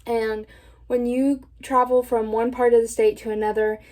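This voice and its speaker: Young woman from indiana, college student, mellow warm voice, steady vlog talk. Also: on the high side, moderate pace. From Indiana, USA. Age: young adult.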